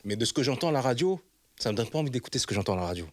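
A man's voice: mid-range voice, big pitch swings, no echo. A man, the host of a web channel, answering interview questions in a casual, emphatic way and checking that the listener follows him. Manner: emphatic, rapid, keeps asking "you see what I mean?".

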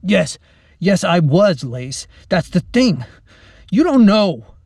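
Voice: medium pitch, animated, slow, darkish tone, husky, no echo, clean studio sound, pitched above the performer's own voice, heavily acted. A narrator voicing a small, put-upon schoolboy in an audiobook, exasperated, snappy and world-weary. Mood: hurt, frustrated.

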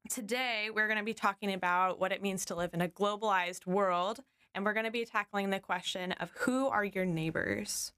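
A woman's voice: medium pitch, steady tone, normal speed, few pauses, pushed and bright, clean tone. A woman co-hosting a podcast, friendly and upbeat, asking questions with a few restarts. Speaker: podcast co-host.

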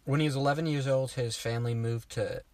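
A young man with a slight lisp, co-hosting a podcast in casual chat, teasing his friend with quick interjections. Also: medium pitch, fairly even intonation, darkish tone, no echo. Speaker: podcast co-host.

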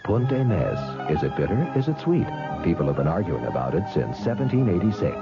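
A man's voice: low voice, sing-song, fairly quick, loud music behind the voice. A man announcing 1970s radio commercials in an emphatic, salesmanlike scripted style. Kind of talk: 1970s radio advertisement read. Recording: dull 1970s radio broadcast recording.

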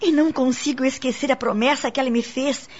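A woman's voice: high-pitched, steady tone, a little fast, darkish tone, breathy edge. A woman with a Brazilian accent playing a melancholy, passionate cabaret singer haunted by guilt, in theatrical, sighing radio drama style. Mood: guilty, depressed. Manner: sighing, theatrical lamenting delivery. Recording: dull, lo-fi radio drama recording.

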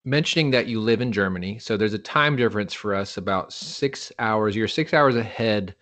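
Laid-back low-voiced American host. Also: male voice, moderate pace, fairly bright tone. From East Coast of the United States. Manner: laid-back, calls guest "man" and "dude". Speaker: podcast host. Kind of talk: casual interview chat.

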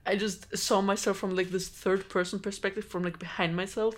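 A woman from Georgia, a native Georgian speaker, telling a personal story in a casual group chat with animated bursts and laughs between phrases. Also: mid-range voice, a little fast. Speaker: podcast co-host.

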